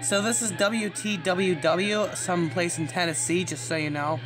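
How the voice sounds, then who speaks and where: A man's voice: sits high, steady tone, normal speed, over music. A man giving a casual, unscripted show-and-tell as a vlogger, rambling along in a matter-of-fact way. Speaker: vlogger showing an antique radio.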